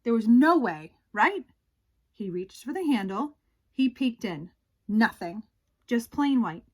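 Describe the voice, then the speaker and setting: Woman, higher-pitched voice, unhurried pace, expressive children's read-aloud, dramatic suspenseful storytelling. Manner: dramatic, with drawn-out suspenseful phrases. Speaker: storyteller reading a picture book aloud.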